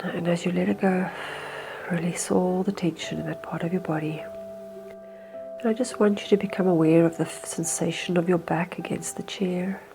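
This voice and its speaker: A woman leading a slow, hypnotic guided meditation in a soothing, hushed manner with unhurried phrasing. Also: on the low side, gravelly.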